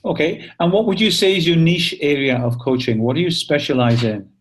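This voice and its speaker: A man's voice: a little high, animated, brisk, a little hiss or hum. Polite male interviewer. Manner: brief, polite questions. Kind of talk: interview questions.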